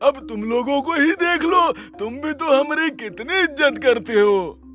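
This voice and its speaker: A very high, slow voice for a genial older village headman, acted by a man in a cartoon. He draws out his rustic speech while music plays faintly behind him. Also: expressive, a bit bright, heavily acted. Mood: approving. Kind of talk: cartoon dialogue.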